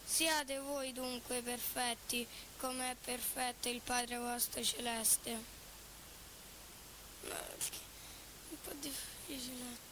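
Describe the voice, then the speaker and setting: A young woman with a Roman accent reading aloud haltingly in a street interview. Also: a little high, steady tone, noisy background. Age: young adult. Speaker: member of the public reading aloud. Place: outdoors on a Rome street near schools. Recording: busy street crowd behind.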